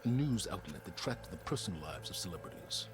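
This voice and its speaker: A scholarly, secretive adviser in a video game. His voice sits low and his phrasing is precise and formal, like careful exposition. Music plays clearly behind him. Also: very animated, brisk. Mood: measured, explanatory. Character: measured, erudite man, secretive scholarly mission adviser. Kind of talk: video game dialogue acting.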